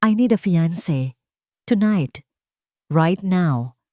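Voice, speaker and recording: A woman's voice: on the low side, animated, drawn-out words, warm tone, no echo, studio quality. A woman voicing a cold billionaire male boss with a curt, businesslike manner in a romance story. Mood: urgent, commanding. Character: cold, commanding, desperate billionaire male CEO.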